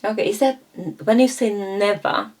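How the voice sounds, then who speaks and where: A woman's voice: medium pitch, steady tone, normal speed, darkish tone. A woman giving a coaching lesson, explaining concepts and acting out short coach and client exchanges, with fillers and self-corrections. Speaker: coaching instructor. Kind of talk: lecture with role-play examples.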